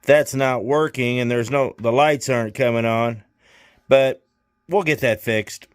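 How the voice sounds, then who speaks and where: A man's voice: medium pitch, steady tone, unhurried, smooth. A man hosting a hands-on repair video in a casual, folksy, jokey way with colloquial wording. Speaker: host of a CB radio repair video.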